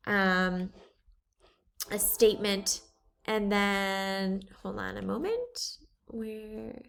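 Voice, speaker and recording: A woman art teacher walks her class through a screen-share lesson at an unhurried pace. She talks casually, thinking aloud and filling pauses with ums. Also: medium pitch. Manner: relaxed, thinking aloud with many fillers.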